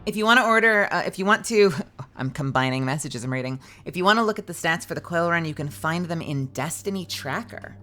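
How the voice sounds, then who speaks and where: A woman's voice: lowish voice, lively intonation, brisk, bright, forceful tone, faint music behind. A young woman streaming games live, chatting playfully with her chat in a slightly scratchy voice.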